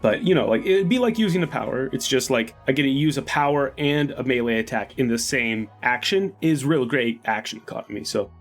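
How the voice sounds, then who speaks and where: A man's voice: medium pitch, lively intonation, a little fast, few pauses. Man playing a tabletop game, music behind. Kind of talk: casual game-table talk. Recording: music under the voice. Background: background music.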